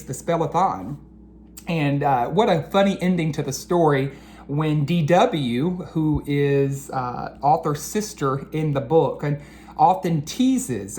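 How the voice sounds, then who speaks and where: A woman educator talking to children after a storybook read-aloud, deliberate and earnest, addressing them directly. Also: low voice, a little mellow, slight background noise.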